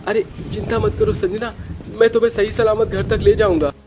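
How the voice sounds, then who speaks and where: A man's voice: high-pitched, fairly even intonation, fairly quick, voice pushed up, lightly acted. A man voicing a selfish, hot-headed young son and bridegroom in a melodramatic, emphatic way for a cartoon story. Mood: reassuring, tender.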